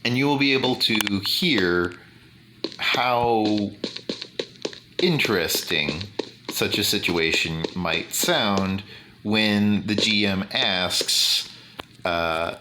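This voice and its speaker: A man with a deep bass voice talking slowly and deliberately over gameplay, with many fillers. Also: noisy background. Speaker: gaming YouTuber. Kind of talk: casual commentary over gameplay.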